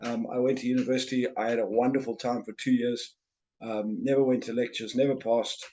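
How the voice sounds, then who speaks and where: A man's voice: mid-range voice, little pitch movement, fairly quick, dark tone, a little hiss or hum, rough recording. A middle-aged man with a Zimbabwean accent, talking casually as an interview guest and often repeating words as he starts a thought. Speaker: guest, sales trainer and speaker.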